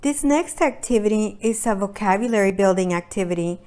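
A middle-aged woman giving a demonstration for parents as an early childhood educator, speaking in simple, deliberate phrases with short pauses. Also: mid-range voice, expressive, a little mellow, faint music behind. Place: indoors at a table by a brick fireplace.

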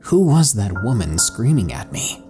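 A low-pitched man narrates a scary story with slow, hushed delivery and dramatic pauses. His intonation rises and falls with lively swings. Faint music plays quietly underneath. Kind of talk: scripted horror narration. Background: soft background music.

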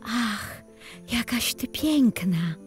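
Gentle fairy-tale sorceress, voiced by a woman, young, sweet, slow, music underneath. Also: on the high side, animated, voice pushed up. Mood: admiring.

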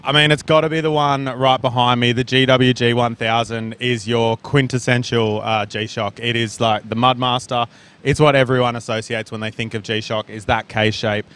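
A man in his thirties, a brand representative, pitching products upbeat with some fillers, on a handheld mic in an indoor expo hall. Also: level tone, moderate pace, fairly bright tone, smooth.

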